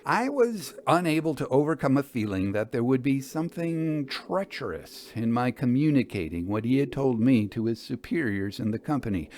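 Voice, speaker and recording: A man narrating classic literature as an audiobook, in a measured, formal and theatrical way. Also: medium pitch, a little mellow, slight background noise.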